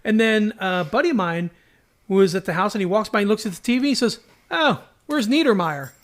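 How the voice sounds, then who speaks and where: A middle-aged man with a high-pitched voice co-hosts a casual podcast. He talks in a jokey, storytelling way, dropping in little quoted asides. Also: animated, fairly quick. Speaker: podcast co-host. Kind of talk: casual chat.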